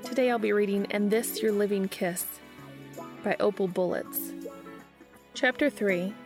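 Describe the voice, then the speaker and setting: A woman's voice: mid-range voice, a little slow, music in the background. A woman reading a story aloud as a scripted audiobook narration. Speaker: fan fiction reader.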